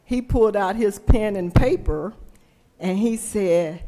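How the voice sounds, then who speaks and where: A woman's voice: medium pitch, warm tone. An older woman, a state senator telling a folksy story in a floor speech at a podium microphone. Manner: folksy, slow storyteller, acts out quoted voices. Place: large legislative chamber. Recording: long hall reverb in chamber.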